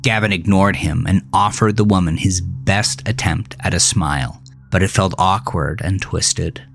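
A man reading a scripted horror thriller story in a measured, deliberate way. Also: low voice, vocal fry. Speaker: narrator. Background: music.

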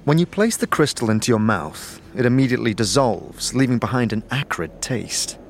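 A man's voice: medium pitch, expressive, moderate pace, a little hiss or hum. A man reading scripted narration for a fantasy audio drama. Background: music.

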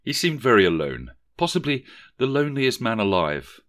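A man's voice: mid-range voice, very animated, normal speed, a bit bright, voice pushed up. A man voicing an ordinary, chatty husband and father telling news in a matter-of-fact way.

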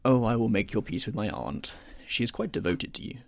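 A man voicing a witty aristocratic gentleman with an amused drawl. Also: on the low side, expressive, a little fast, creaky, dry recording, clean studio sound. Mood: languid.

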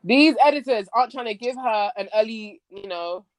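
A woman hosting a casual podcast chat about a TV series, expressive and slangy. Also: a little high, moderate pace, dry recording, rough recording. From Nigerian background. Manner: expressive, slangy, acts out quoted lines. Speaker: podcast host.

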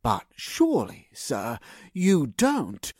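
Guy doing a polite jittery butler type for radio drama, husky, drags words out, pitch jumps all over. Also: huge pitch swings, slow, a little mellow, no echo, clean studio sound, full character voice. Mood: nervous, flustered. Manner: formal, hesitant, very polite. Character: deferential nervous male household servant.

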